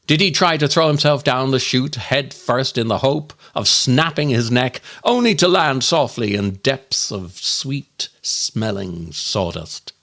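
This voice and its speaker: A man performing a scripted comic monologue as a podcast host, wry and theatrical, with dramatic pauses. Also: medium pitch, lively intonation, a bit bright.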